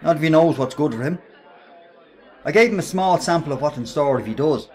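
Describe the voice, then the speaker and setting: A man's voice: fairly high pitch, lively intonation, fairly quick, a little mellow, a faint crowd, lightly acted. An actor with a Dublin accent playing a brash, bullying working-class man in a radio play, cocky and needling in his slangy talk. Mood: cocky menace. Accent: Irish, Dublin. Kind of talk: radio play acting in colloquial dialogue.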